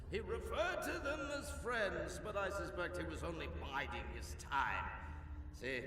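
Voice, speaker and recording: Creaky, high, theatrical legend-teller. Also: male voice, full character voice. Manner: dramatic, pedantic, savoring the tale. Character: theatrical local storyteller steeped in pirate legend. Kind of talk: video game cutscene acting. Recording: very echoey hall, distant, some noise.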